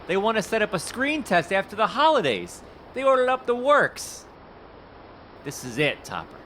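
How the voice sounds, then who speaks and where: An actor playing a folksy, fast-talking male Hollywood talent scout with a salesman's patter in an audio drama. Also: high voice, very animated, a bit bright, slight background noise.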